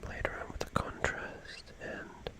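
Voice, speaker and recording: Slow, whispering ASMR storyteller. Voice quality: whispered, breathy. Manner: slow, musing, drawn-out phrases with long pauses. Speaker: ASMR streamer.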